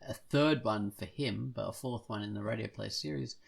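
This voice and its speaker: An enthusiastic podcast host with a gravelly, creaky voice at a middling pitch. The talk is casual and effusive, like a chat among friends. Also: normal speed.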